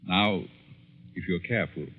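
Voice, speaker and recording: An actor in a 1940s-style radio drama playing a sinister, calculating professor, measured and insinuatingly persuasive. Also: male voice, deep voice, slight background noise. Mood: coolly instructing. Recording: muffled old radio recording, long reverb.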